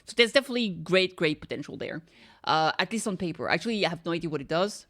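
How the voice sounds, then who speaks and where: A woman's voice: lowish voice, lively intonation, fast talker, a bit bright. A woman streamer chatting casually, rambling and thinking aloud as she reacts to games.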